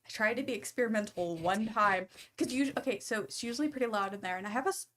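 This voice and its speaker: A soft-voiced woman chats easily on a podcast, pitched slightly high. She tells a little everyday story at a relaxed, friendly clip. A faint background hiss sits under the recording. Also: fast. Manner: chatty storytelling. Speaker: guest. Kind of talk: casual podcast chat. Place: local to Sacramento area.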